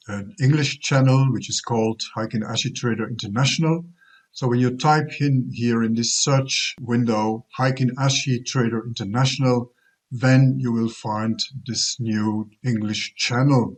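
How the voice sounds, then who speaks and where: A man, a Dutch speaker, making a casual announcement to the viewers of his trading channel, with many pauses and fillers. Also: medium pitch, a little mellow, reverberant. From Flemish Belgium. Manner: hesitant, frequent "uh" and "um", self-corrections. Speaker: trading YouTuber.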